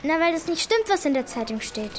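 A woman's voice: sits high, sing-song, fairly quick. A female performer playing an earnest, insistent young schoolgirl in a German children's radio play, blunt and worried. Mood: adamant, worried. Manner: blunt, insistent, hesitant when unsure.

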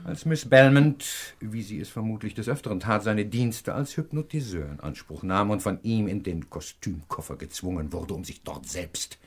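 An actor playing a self-assured professor detective in a radio crime drama, explaining precisely and with pedantic emphasis. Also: male voice, fairly low pitch, normal speed, hardly any pauses. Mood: lecturing, triumphant.

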